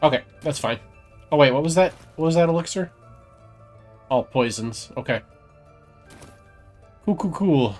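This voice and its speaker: A man's voice: fairly high pitch, lively intonation, measured pace, pauses often, faint music behind. A man giving casual commentary on a video game he is playing, sometimes reading game text aloud.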